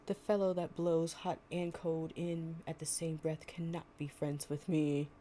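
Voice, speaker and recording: A woman plays a male satyr from a storybook fable. He is puzzled, then blunt and wary. The delivery is unhurried and low for a woman. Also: level tone, mellow, slight background noise. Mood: firm, disapproving.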